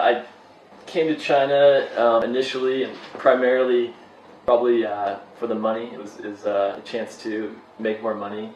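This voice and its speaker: A man from California, talking hesitantly with many fillers. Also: on the low side. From California, USA. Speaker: worker abroad. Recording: distant, echoey, faint noise.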